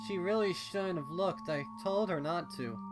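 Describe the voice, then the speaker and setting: Guy putting on a sad little pegasus girl, shy and halting, higher pitched, storybook reading. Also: over music, lightly acted. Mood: apologetic, sad. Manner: hesitant, halting. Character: sad, meek female pegasus pony, apologetic and withdrawn.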